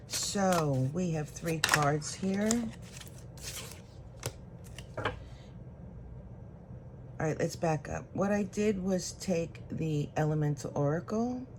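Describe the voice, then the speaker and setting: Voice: medium pitch, noisy background. A tarot reader giving a solo reading straight to the viewer, thinking aloud while drawing cards. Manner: unhurried, thinking aloud as cards are drawn.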